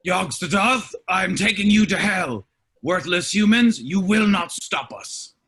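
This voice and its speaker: A man's voice: high-pitched, measured pace, bright, forceful tone, slightly rough, full character voice. An actor playing a scary, cold demon father in his fifties, imperious and threatening, in a comedy table read. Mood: cold, stern. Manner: imperious, threatening, stiffly formal. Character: very scary 55-year-old humanoid demon father, cold and menacing.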